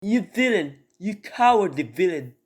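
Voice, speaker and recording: A man's voice: high-pitched, sing-song, measured pace, breathy edge, pitched above the performer's own voice, heavily acted. A man voicing a cunning, defiant lady of the manor in a melodramatic radio drama, theatrical and indignant.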